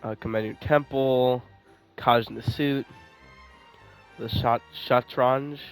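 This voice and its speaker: Guy thinking out loud while gaming, medium pitch, music playing behind him. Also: fairly even intonation, slow pace, smooth. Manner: rambling, swears casually, thinking aloud. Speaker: gaming streamer playing and commenting. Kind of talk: casual gameplay commentary. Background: game music.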